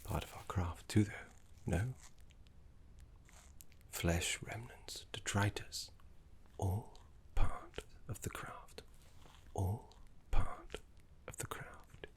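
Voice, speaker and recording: A man voicing a gentle, fussy, scholarly older necromancy teacher in a soft ASMR roleplay, breathy and half-whispered, with polite, hesitant phrasing. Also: deep voice, heavily acted. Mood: apologetic, soothing. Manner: polite, hesitant, slow and deliberate.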